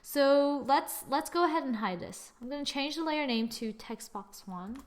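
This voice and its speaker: A young woman with a fairly high voice walks through a screen-recorded software lesson. She chats casually and thinks aloud as she works, teasing her own results. It sounds like a computer microphone at a desk. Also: lively intonation, normal speed. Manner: chatty, self-mocking, thinks aloud while clicking. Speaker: software tutorial presenter.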